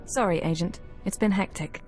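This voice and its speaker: An actress playing a brisk, efficient intelligence officer briefing formally in a video game, matter-of-fact. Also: female voice, lowish voice, a little mellow, soft background music, lightly acted. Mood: brisk, serious.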